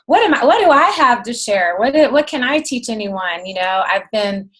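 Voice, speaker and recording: A woman in her late thirties, losing her voice, speaking as a chatty, excitable guest on a team video call. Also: fairly high pitch, moderate pace. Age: 30s. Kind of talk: casual talk on a video call.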